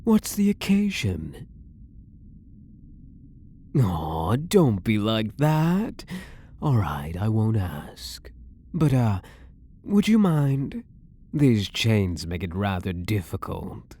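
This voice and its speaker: A man's voice: on the low side, sing-song, mellow, a little hiss or hum, full character voice. A man voicing a possessive, coy elf prisoner in an intimate ASMR roleplay, teasing and devoted, sometimes dropping toward a whisper. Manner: teasing, slow, lilting delivery dropping into whispers.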